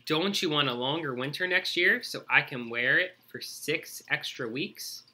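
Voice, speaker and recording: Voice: medium pitch, expressive, a little slow, pushed and bright. Reader putting on a flirty, coaxing storybook hare.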